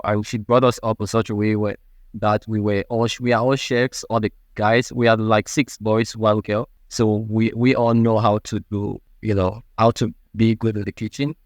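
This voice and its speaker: A man in his thirties from Cameroon, speaking with a Cameroonian accent and answering interview questions in a halting, earnest way. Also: fairly low pitch, dry recording. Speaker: guest.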